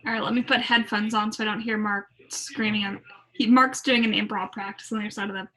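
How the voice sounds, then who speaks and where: A woman's voice: mid-range voice, fairly even intonation, fast talker, a bit bright, poor audio. A woman in casual, informal chat, speaking from an apartment.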